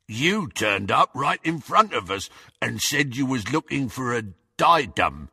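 A man voicing an oafish teenage boy henchman, grunting and dim, with rough grammar, in an audiobook. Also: mid-range voice, big pitch swings, unhurried, sharp tone, no echo, clean studio sound, full character voice. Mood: gormless pride.

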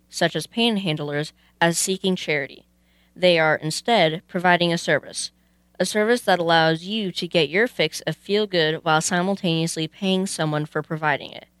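A woman's voice: on the low side, no room sound. A woman presenting on a youth radio show, reading scripted narration.

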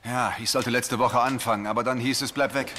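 A man's voice: mid-range voice, steady tone, brisk, pushed and bright, a little hiss or hum, natural voice. A man playing an earnest, frightened but determined young policeman in an audio drama, speaking with tense urgency. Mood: shaken, earnest. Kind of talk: German audio drama acting of a video game.

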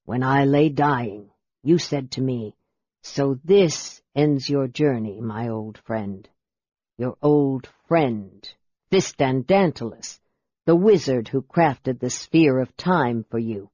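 A proud young wizard acted by a male narrator speaks with quiet intensity and formal courtesy. Every word is slow and deliberate, in a roomy, slightly dulled recording for a fantasy audiobook. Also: medium pitch, slightly rough, pitched below the performer's own voice. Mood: solemn, intense. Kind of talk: audiobook character voice. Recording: roomy echo, slightly dull sound.

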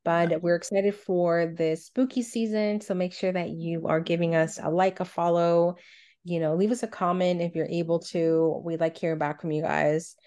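Low-voiced woman chatting on a podcast. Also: steady tone, moderate pace, dark tone. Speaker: podcast co-host. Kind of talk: casual podcast chat.

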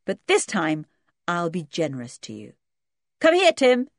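A woman's voice: low voice, animated, moderate pace, creaky, no echo, studio quality, full character voice. Woman playing a bold, scornful tomboy girl. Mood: scornful, commanding. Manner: scornful, firm, taunting.

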